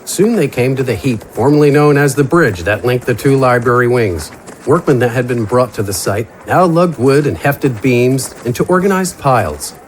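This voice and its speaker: A man's voice: mid-range voice, lively intonation, moderate pace, slight background noise. A man narrating a fantasy audio drama in a scripted, dramatic storytelling style.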